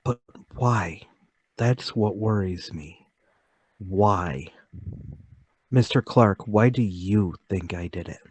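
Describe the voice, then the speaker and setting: A man plays a meek suspect in a mystery radio play. The voice is lowish and husky, and the words come slowly, with polite, hesitant bewilderment. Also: dry recording, exaggerated voice. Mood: bewildered.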